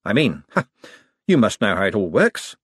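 A man's voice: on the low side, sing-song, moderate pace, a bit bright, breathy edge, dry recording, clean studio sound, full character voice. Man playing a faltering anxious clerk. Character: hesitant, anxious male bank clerk.

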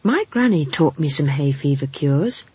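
A woman's voice: sits low, animated, normal speed, dark tone, slightly rough, no room sound, lo-fi sound, heavily acted. A woman voicing a blunt, curious little village girl in an audiobook, speaking matter-of-factly. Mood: insistent, practical. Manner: matter-of-fact, conversational. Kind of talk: audiobook character voice.

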